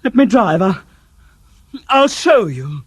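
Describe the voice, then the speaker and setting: An actor playing a male clairvoyant in a trance in a radio drama, with a halting, hushed, breathy delivery. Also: high-pitched, big pitch swings, no echo, exaggerated voice. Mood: insistent.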